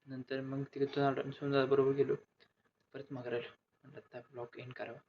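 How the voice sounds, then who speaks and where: A man's voice: medium pitch, level tone, moderate pace. A young man chatting casually as he presents his vlog. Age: young adult. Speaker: vlogger. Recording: dull lo-fi audio, faint noise.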